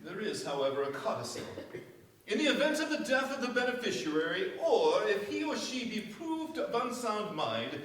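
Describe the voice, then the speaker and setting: A man playing a formal, authoritative family lawyer in a staged radio play, with an official, deliberate delivery. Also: high voice, very animated, fairly bright tone. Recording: echoey stage, distant mic, faint hiss.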